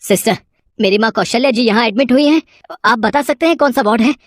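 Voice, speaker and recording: A woman voicing a polite young man, a devoted and grateful son, in an animated moral story. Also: fairly high pitch, quick pace, dry recording, clean studio sound, pitched above the performer's own voice, natural voice. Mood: anxious.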